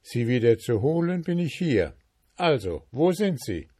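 A man's voice: mid-range voice, sing-song, unhurried, warm tone, husky, dry recording, clean studio sound, pitched above the performer's own voice. Man voicing a clever young journeyman. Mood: demanding. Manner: pleading, then demanding.

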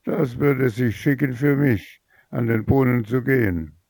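A man voicing a rude, haughty elder daughter who answers with scorn, in a slow storybook reading. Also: medium pitch, steady tone, mellow, no echo, voice pushed up, lightly acted. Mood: scornful. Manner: sullen, scornful.

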